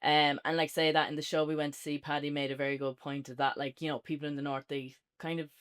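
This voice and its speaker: A man's voice: fairly high pitch, level tone, fast talker, a bit bright, smooth. An Irish man in a casual, unscripted review chat as co-host, rambling and informal in how he talks.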